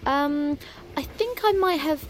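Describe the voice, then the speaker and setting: Timid, apologetic British woman, bewildered and hesitant, high-pitched. Comic audio drama. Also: takes it slowly. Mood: alarmed. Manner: hesitant, stammering. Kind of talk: naturalistic comedy audio drama acting.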